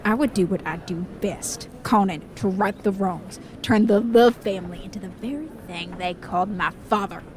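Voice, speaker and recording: A woman's voice: medium pitch, normal speed, few pauses, a little mellow, close to an everyday voice. A woman playing a resentful, evasive outlaw forger in a western audio drama, theatrical in delivery, with background noise. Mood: bitter. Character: resentful, shifty Wild West outlaw forger.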